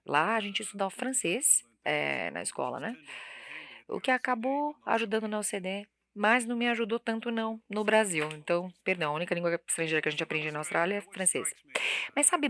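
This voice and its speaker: A woman's voice: mid-range voice, a bit bright. A woman interpreting a lecture live in the first person, hesitating and correcting herself mid-word. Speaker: simultaneous interpreter.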